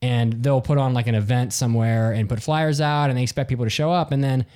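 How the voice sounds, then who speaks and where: A man with a deeper voice co-hosting a casual podcast, rambling and restarting his sentences. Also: fairly even intonation, quick pace, a little mellow, smooth. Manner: rambling, self-interrupting, restarts. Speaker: podcast co-host.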